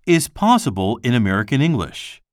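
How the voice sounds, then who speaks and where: A man reading a textbook passage aloud for a language lesson, slow and careful, with clear pauses between phrases. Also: mid-range voice, big pitch swings, dry recording, studio quality. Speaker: textbook reader.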